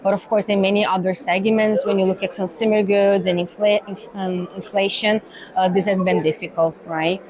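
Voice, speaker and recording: A woman with a Brazilian accent talks through her answers at a medium pitch, pausing on "uh" and "like" as she thinks. She checks in with a tag "right?" after her points. It is a relaxed, earnest interview at a trade exhibition. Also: a crowd in the background, low-quality recording. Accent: Brazilian Portuguese. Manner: thoughtful, many fillers and tag "right?". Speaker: guest, pharma cargo executive. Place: exhibition hall.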